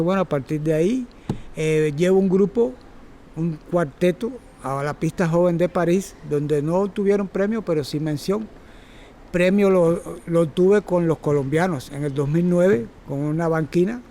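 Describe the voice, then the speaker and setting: A man's voice: fairly high pitch, dark tone, slight background noise. A Cuban man in his sixties giving an informal, rambling lecture to circus students, often correcting himself. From Cuba. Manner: rambling, self-correcting, chatty. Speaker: circus instructor.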